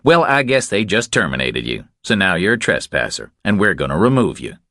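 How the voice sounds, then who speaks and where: A man's voice: low-pitched, big pitch swings, brisk, bright, forceful tone, no room sound, clean studio sound, voice pushed up, full character voice. A man voicing a blunt young Texas Ranger sergeant in an audiobook, clipped, authoritative and unyielding. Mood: officious, firm.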